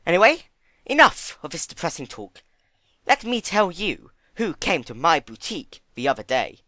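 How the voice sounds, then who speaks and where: A man acts out a ladylike pony in a dramatic story reading. She is refined, thoughtful and given to drama, with a lifted, swooping pitch. The recording is dry. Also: huge pitch swings, moderate pace, pushed and bright, no echo, full character voice. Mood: brightly chatty. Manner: genteel, musing. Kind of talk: dramatic fan-fiction reading.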